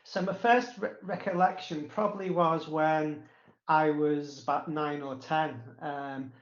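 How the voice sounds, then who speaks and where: Male interview guest with a stutter, slow halting delivery, roomy and muffled recording. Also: a little high, fairly even intonation. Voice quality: stutter with blocks, repetitions and drawn-out pauses. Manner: halting, frequent fillers. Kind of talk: casual personal recollection. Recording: roomy, echoey, dull sound.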